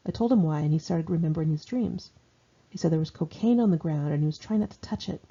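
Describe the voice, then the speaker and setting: A woman's voice: on the low side, warm tone, husky, lo-fi sound. An American woman reads her own memoir in a conversational, candid, wry and slangy way. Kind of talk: conversational first-person memoir narration.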